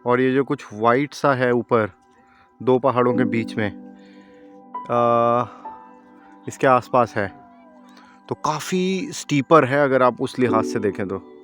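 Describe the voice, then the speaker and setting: A man from India talks through his hike as a travel vlogger, speaking chattily and directly to his viewers. His voice sits at an ordinary middle pitch, picked up outdoors on a handheld camera. Soft music plays faintly underneath. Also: slow, long pauses. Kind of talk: casual travel vlog narration. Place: outdoors on a mountain trail.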